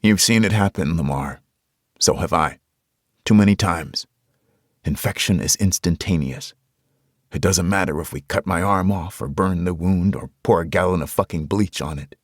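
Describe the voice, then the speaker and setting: A man voicing a wounded, resolute tough man who talks gruffly and bluntly. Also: deep voice, moderate pace, no room sound, studio quality. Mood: grim.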